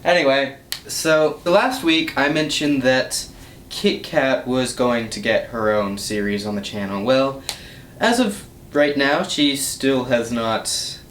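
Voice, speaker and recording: A young man giving a casual, rambling update as host of a gaming channel, recorded indoors in a small room. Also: fairly bright tone. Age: young adult. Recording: roomy echo, low rumbling noise.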